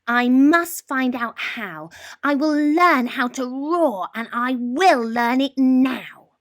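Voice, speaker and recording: A woman voicing a tiny, meek male mouse with a squeaky, eager, breathless voice in a storybook reading. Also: animated, slow pace. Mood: determined.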